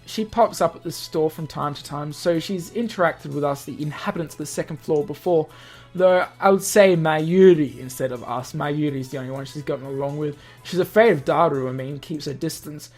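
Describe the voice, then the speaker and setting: A man's voice: fairly high pitch, moderate pace, a little mellow. A man hosting a gaming video, reading a visual novel aloud with casual asides and correcting himself as he goes. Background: music.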